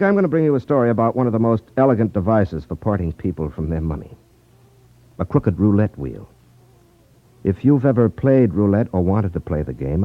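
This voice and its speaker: Detective lead, male: deep, animated delivery, wry confidence, vintage radio drama with dulled sound. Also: dry recording. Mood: earnest sign-off. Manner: wry, self-assured, explanatory. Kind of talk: 1950s radio drama acting. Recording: muffled 1950s radio recording.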